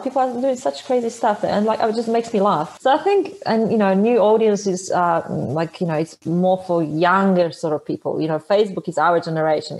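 A woman's voice: medium pitch, darkish tone, low-quality recording. A woman in her thirties, a native Polish speaker with a Polish accent, chatting casually as an interview guest, laughing easily and restarting her sentences. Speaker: interview guest, motorcycle traveler.

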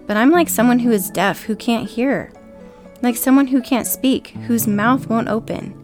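A woman reading a psalm aloud as a prayer, measured, with pauses between short phrases. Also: a little high. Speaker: scripture reader. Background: faint acoustic guitar music.

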